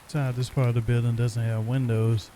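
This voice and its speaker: Chill low-key guy doing lets play commentary, dark soft tone, short remarks, bit of rain behind. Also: flat delivery, a little fast. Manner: low-key, terse remarks, thinking aloud. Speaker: gamer playing and commenting. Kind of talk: casual gameplay commentary. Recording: faint rain noise behind.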